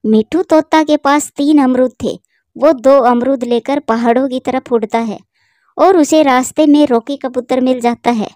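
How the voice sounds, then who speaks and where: A woman narrating a children's cartoon story with steady, plain delivery. Her voice is fairly high and mellow, recorded dry and close. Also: unhurried, no room sound.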